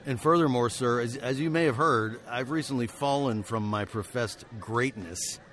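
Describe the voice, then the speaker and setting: An actor playing a haunted, weary frontier hero turned fugitive, narrating in wry, wordy literary phrases for radio drama. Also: male voice, mid-range voice, moderate pace, fairly bright tone, close to an everyday voice. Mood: flustered, pompous. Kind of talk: scripted first-person radio drama narration.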